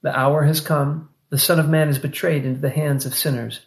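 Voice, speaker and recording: A man's voice: on the high side, level tone, a little fast. A man giving a solemn, scripted reader's theater reading of scripture. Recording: roomy, echoing sound.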